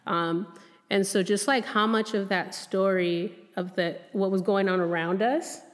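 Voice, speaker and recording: Woman podcast host, mid-pitched, upbeat and affirming, reverberant room with faint music. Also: measured pace. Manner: encouraging, animated affirmations. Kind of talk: casual podcast conversation.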